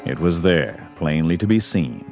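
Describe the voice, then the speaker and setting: A man's voice: a bass voice, very animated, measured pace, gravelly. A man narrating a Western story as a scripted audio drama. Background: music.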